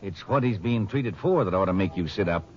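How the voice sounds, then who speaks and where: Eager sheriff, lowish voice, dull 1950s radio drama sound. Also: male voice, animated, a little fast. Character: male sheriff, eager and talkative partner. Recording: dull old radio transcription with faint hiss.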